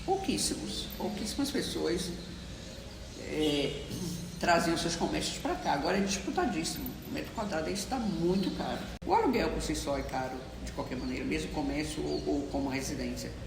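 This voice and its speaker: Woman with a gravelly voice, measured explaining, echoey outdoor sound with lots of noise. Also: low voice. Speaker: longtime neighborhood resident. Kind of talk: documentary interview. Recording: roomy, reverberant, noisy street recording.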